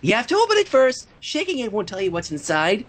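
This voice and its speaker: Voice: medium pitch, huge pitch swings, quick pace, pitched above the performer's own voice. A reader voicing a hyper, cheerful, party-loving young female cartoon pony in a fan fiction story. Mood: excited, cheerful. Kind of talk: character voice in a fan fiction reading.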